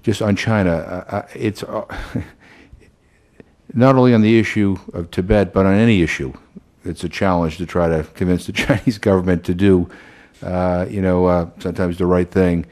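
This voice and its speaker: Male hearing chairman, very low pitch, halting questioner with frequent restarts. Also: fairly even intonation, a little mellow. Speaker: committee chairman.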